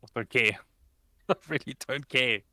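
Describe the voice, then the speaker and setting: A man's voice: medium pitch, a little slow, over music. A man with a South African English accent, co-hosting a podcast in casual, teasing banter. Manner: playful teasing, argues with a chuckle. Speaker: podcast co-host.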